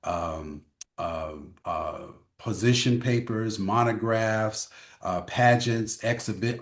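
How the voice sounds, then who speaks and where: An American man from Chicago speaking unscripted as a scholar on a panel, deliberate and listing points with conversational asides. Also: on the low side. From Chicago, United States. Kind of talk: academic panel talk, unscripted. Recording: roomy, echoing voice pickup.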